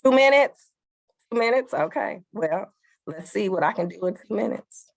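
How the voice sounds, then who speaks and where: An enthusiastic woman teacher addresses a community forum. She speaks in a mid pitch and repeats words to stress them. The sound is dry, with no room echo. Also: lively intonation, moderate pace, gravelly. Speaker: teacher presenting student work.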